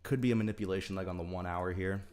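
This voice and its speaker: Low-voiced young man chatting on a stream. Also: fairly even intonation, rapid-fire, darkish tone. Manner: chatty, greets viewers by name, thinks aloud with fillers. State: speech a bit off from teeth whitening strips. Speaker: trading livestreamer. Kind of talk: casual livestream commentary.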